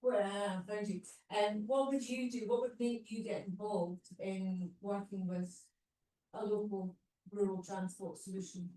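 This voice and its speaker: A woman's voice: mid-range voice, steady tone, unhurried, dark tone. A woman hosting a live panel session, chatty and drawing in the audience. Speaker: host. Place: community cinema hall. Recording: roomy hall, mic at a distance.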